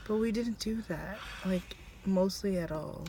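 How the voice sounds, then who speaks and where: A woman's voice: medium pitch, unhurried, noisy background. A woman co-hosting a casual film review, with a blunt, rambling, sardonic way of talking. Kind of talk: casual unscripted review chat.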